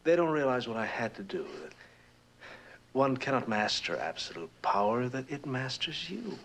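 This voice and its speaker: A man's voice: medium pitch, sing-song, moderate pace, a bit bright. An actor playing a reclusive, paranoid, imperious rich man in a vintage television drama, with a grandiose, volatile manner. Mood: tormented, self-pitying. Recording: noisy old television soundtrack.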